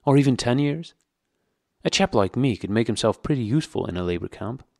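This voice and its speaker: A man's voice: medium pitch, expressive, a little fast, creaky, a little mellow, dry recording, clean studio sound, pitched above the performer's own voice. A man voicing a frightened, pudgy middle-aged prisoner who pleads eagerly and nearly blubbers. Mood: pleading.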